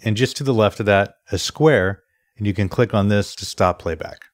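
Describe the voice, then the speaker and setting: A man presenting an instructional software screencast in a methodical, step-by-step way. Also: fairly low pitch, moderate pace, no room sound. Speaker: tutorial presenter.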